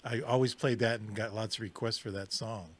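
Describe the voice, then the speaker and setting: A man's voice: fairly low pitch, steady tone, no room sound. Gushing male DJ host. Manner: enthusiastic, gushing, halting with fillers. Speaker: radio DJ host. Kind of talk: casual interview. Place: California.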